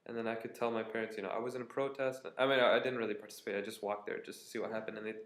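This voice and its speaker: A young American man with a lowish voice tells stories to his camera. He sounds tired and rambles in a relaxed way. The room around him rings with echo. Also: rapid-fire. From United States. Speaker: vlogger. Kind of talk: casual storytelling vlog. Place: indoors at a friend's apartment. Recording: echoey room.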